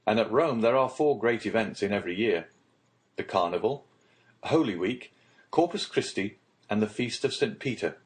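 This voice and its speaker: A man with a fairly low voice narrates a classic novel. His delivery is formal and measured. It is scripted literary storytelling for an audiobook. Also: expressive.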